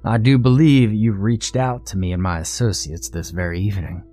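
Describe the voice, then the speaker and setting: A man voicing a small, genteel Southern gentleman with a florid, drawn-out way of speaking and an American Southern accent. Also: lowish voice, fairly even intonation, soft background music, close to an everyday voice. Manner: florid, drawn-out, ever so gracious. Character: small pot-bellied Southern dandy man, genteel, menacing.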